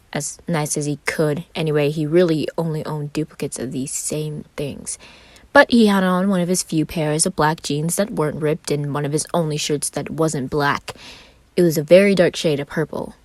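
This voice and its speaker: A woman narrates a piece of fiction in a low-pitched voice, telling it expressively. The recording is dry and close, with little room sound. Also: no room sound. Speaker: fan fiction reader.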